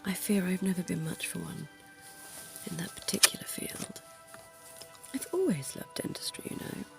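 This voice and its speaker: A woman voicing a centuries-old, genteel female vampire dentist in an ASMR roleplay, speaking in a soft, posh, coaxing whisper. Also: lowish voice, animated, moderate pace, gravelly, over music. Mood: soothing.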